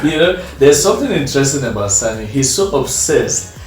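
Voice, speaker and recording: Male host, mid-pitched, friendly, echoey, distant mic. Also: big pitch swings, a little fast, over music. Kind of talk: casual interview chat.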